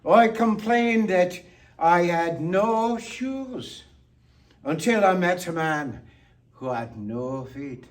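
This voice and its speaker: A man performing a theatrical monologue of toasts in a playful, tipsy toastmaster manner with deliberate pauses, recorded on a distant microphone. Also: high-pitched, expressive.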